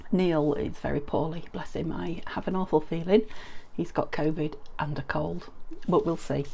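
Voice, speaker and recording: A middle-aged woman from the UK with a croaky voice from a summer cold, chatting in a rambling, friendly way through a craft tutorial with many ums and restarts. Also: deep voice, fairly even intonation, normal speed, dark tone, a little hiss or hum. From United Kingdom. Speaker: crafting vlogger.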